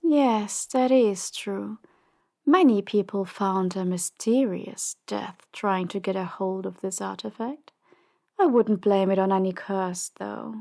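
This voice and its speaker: A woman playing a commanding, power-hungry crime boss in an audio drama, measured and condescending, with polite menace. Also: medium pitch, vocal fry, a little mellow. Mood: cold menace. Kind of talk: audio drama roleplay acting.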